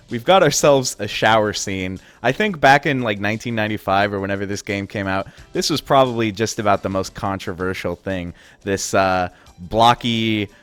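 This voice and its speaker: A man's voice: lowish voice, a bit bright, no echo. Amused young man narrating game clips. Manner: wry, amused, breaks into laughs at absurd bits. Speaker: gaming video host. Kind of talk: casual video commentary.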